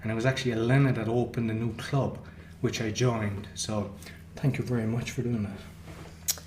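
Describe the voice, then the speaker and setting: A man's voice: fairly low pitch, level tone, moderate pace, darkish tone. A man hosting a podcast interview, talking casually and conversationally as he asks his guest questions. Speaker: podcast host. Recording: echoey room with faint noise.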